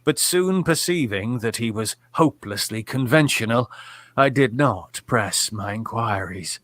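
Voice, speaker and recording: An actor playing a tormented, doomed narrator in a horror audio drama, with formal, theatrical delivery. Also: male voice, medium pitch, expressive, a little slow, a bit bright, no room sound. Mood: grave, brooding. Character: tormented male narrator of a horror tale, morphine addict, doomed.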